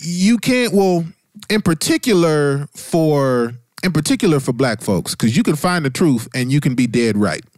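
A man's voice: a little high, expressive, normal speed, darkish tone, dry recording, studio quality. A man with an American accent in a casual, opinionated discussion, speaking informally with slang and some cursing. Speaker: podcast panelist.

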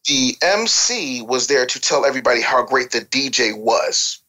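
Emphatic, lecturing panelist with a mid-pitched voice. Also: lively intonation, moderate pace, sharp tone. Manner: emphatic, lecturing, asks rhetorical questions. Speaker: talk show panelist. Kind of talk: opinionated music-industry discussion.

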